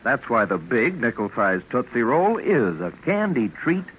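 A man's voice: medium pitch, very animated, measured pace, a little hiss or hum. A man playing an enthusiastic American sponsor announcer, giving an emphatic old-time radio candy advertisement. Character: eager, enthusiastic candy pitchman. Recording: muffled old radio recording.

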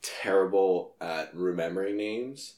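Young male podcast host, low voice, animated and jokey; echoey room. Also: unhurried. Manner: joking, animated storyteller. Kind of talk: casual chat.

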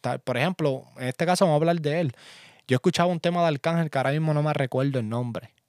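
A young man from Puerto Rico with a Puerto Rican accent, hosting a casual solo podcast from his home studio in a relaxed, chatty way with lots of fillers. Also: on the high side, quick pace, dry recording. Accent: Puerto Rican Spanish. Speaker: podcast host and music producer.

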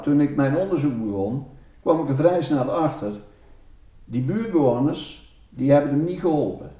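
Recorded a little away from the mic in a roomy space, an older man recounts his research in an interview. His voice is mellow, and he often stops to search for words. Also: mid-range voice, far from the mic. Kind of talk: spontaneous interview account.

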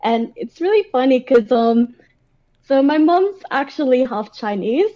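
A woman with an Australian accent speaks in a fairly high, mellow voice with a slightly dull recording quality. She chats casually as an interview guest, telling personal stories in a talkative, informal way. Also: measured pace. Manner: chatty, animated retelling with acted-out quotes and frequent "like".

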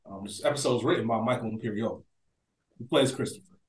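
A man's voice: mid-range voice, fast. A man co-hosting a podcast, discussing things in a conversational, reflective way, recorded in an echoey room. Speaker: podcast co-host. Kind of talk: casual discussion.

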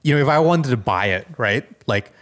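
Halting, conversational man, medium-pitched voice. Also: expressive, a bit bright. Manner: halting, conversational, frequent check-in questions. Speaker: podcast co-host. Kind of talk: casual chat.

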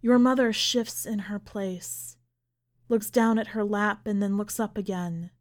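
A woman presenting a literary podcast in a measured, deliberate way, as narrator and host. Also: medium pitch, fairly even intonation. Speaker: podcast host, editor and story reader.

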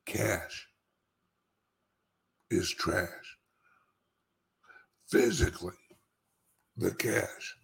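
A man with a low, raspy voice hosts an informal commentary for his followers. He speaks extremely slowly, with long dramatic pauses and gravelly fry. He leans hard on key phrases and repeats them for emphasis. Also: a bit bright. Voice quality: speech impediment. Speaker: cryptocurrency commentator and show host.

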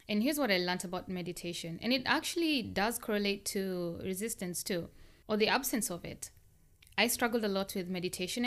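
A young woman speaks alone as a podcast host. Her delivery is earnest and conversational, built from short, considered phrases. She sounds reflective, as if thinking aloud to her listeners, at an ordinary middle pitch. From born in the US, grew up in Kenya. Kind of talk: reflective solo podcast monologue.

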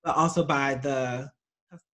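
A man in a casual group discussion, speaking in a measured, hesitant way with fillers. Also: mid-range voice, fairly even intonation, a bit bright. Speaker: discussion participant.

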